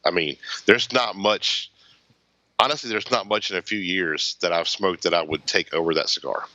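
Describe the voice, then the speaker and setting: Man, low pitch, forceful bright tone, emphatic, slightly muffled audio. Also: moderate pace, dry recording. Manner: emphatic, enthusiastic praise. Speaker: cigar podcast co-host. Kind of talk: casual panel chat.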